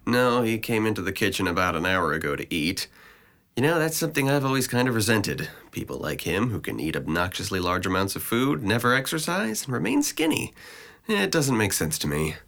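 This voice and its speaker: A man's voice: fairly low pitch, very animated, fairly quick, creaky, a bit bright, heavily acted. A man playing a depressed, sardonic twenty-one-year-old in an audio drama, with a dry, articulate delivery. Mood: sardonic, bitter. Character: sardonic, depressed young man, resentful and withdrawn.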